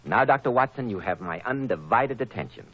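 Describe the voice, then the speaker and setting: A man announcing and pitching for a sponsor in a polished, emphatic 1940s radio style. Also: expressive, brisk, fairly bright tone, husky, low-quality recording. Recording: old 1940s radio recording.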